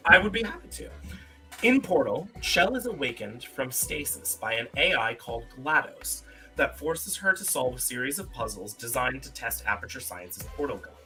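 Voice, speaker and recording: A man's voice: medium pitch, sing-song, moderate pace, bright, forceful tone, rough recording. An enthusiastic, emphatic man chatting casually and hosting a quiz on a live stream. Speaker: host of a live trivia game. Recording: faint music behind the voice. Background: faint music.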